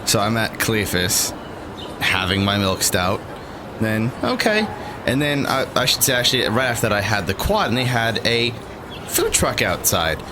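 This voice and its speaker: A man recounts a night out in an animated, laughing way, his pitch leaping around as he mimics other people. The recording has a steady hum of background noise behind him. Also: moderate pace. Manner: animated, acts out quoted voices, laughs often. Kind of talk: casual storytelling chat. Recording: noisy background.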